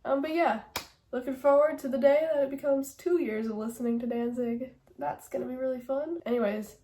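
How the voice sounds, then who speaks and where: A woman's voice: sits high, fairly quick, mellow, a little hiss or hum. A young woman with a stuffy nose from a cold, chatting casually and rambling through an album ranking with lots of fillers. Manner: rambling, many fillers and hedges. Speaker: music vlogger.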